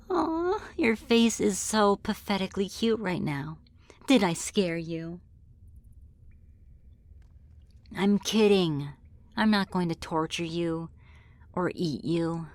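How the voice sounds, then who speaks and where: A woman's voice: fairly low pitch, unhurried, darkish tone, no echo, close to an everyday voice. A woman voicing a friendly, teasing female demon in an ASMR roleplay, with casual, politely menacing delivery. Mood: amused, reassuring. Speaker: roleplay voice performer. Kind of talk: ASMR roleplay, scripted one-sided dialogue.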